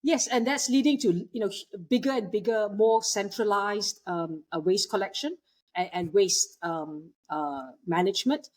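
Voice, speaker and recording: A woman's voice: medium pitch, moderate pace. A woman from Malaysia, a guest in an interview, talking in a hesitant way with many fillers and restarts. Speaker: guest, waste technology entrepreneur. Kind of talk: conversational interview.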